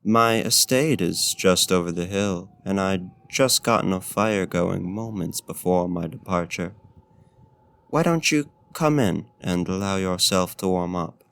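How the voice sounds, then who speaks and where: Man playing a slow, deep, courtly vampire. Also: fairly bright tone, heavily acted. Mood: polite, inviting. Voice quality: low, gravelly. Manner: formal, archaic, courteous and flirtatious phrasing. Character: courtly male vampire host, smooth, gently menacing and seductive. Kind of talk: ASMR roleplay, slow and intimate.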